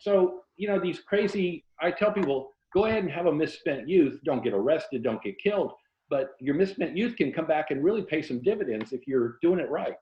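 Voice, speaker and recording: A man's voice: a little high, lively intonation, brisk. An older man from Florida with an American accent, telling anecdotes casually as an interview guest over a video call. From Tampa, Florida, United States. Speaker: interview guest, writer and former journalist. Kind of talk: casual conversational storytelling. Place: indoor room, remote video call. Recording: roomy, dull video call audio.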